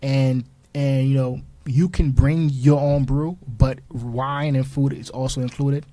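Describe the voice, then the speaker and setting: A man born in Haiti and raised in Newark, a guest entrepreneur on a radio show, who spells out his web details slowly and carefully. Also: medium pitch, fairly even intonation, warm tone, no echo, poor audio. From born in Haiti, raised in Newark, New Jersey. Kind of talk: casual radio interview.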